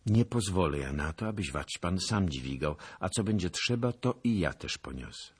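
A man's voice: sits low, expressive, normal speed, a little hiss or hum, pitched above the performer's own voice. A man voicing a frightened young noblewoman who speaks earnestly and anxiously in an audiobook. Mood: resolute. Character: frightened young noblewoman, gentle but resolute.

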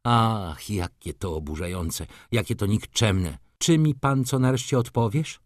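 A man voicing an imperious, flirtatious society lady from a novel, commanding and theatrical, quick to scornful indignation. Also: fairly low pitch, no echo, clean studio sound, natural voice. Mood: indignant, scornful.

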